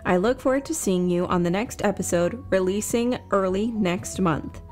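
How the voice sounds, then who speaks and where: Female podcast narrator, medium pitch, scripted storytelling delivery, faint music behind. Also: moderate pace, a little mellow.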